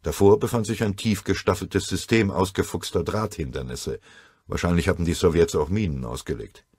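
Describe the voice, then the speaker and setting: Deep husky male audiobook narrator. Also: fairly quick, darkish tone, no echo, studio quality. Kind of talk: dramatic scripted narration of a war novel.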